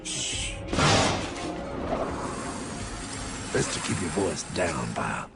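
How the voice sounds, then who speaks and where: A man's voice: a bass voice, sing-song, a little slow, gravelly, loud music behind the voice, full character voice. A man voicing a brash, streetwise cartoon guide who explains things emphatically and sarcastically, talking down to the listener. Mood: hushed, firm. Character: brash streetwise male cartoon guide exposing banking secrets. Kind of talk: animated cartoon acting.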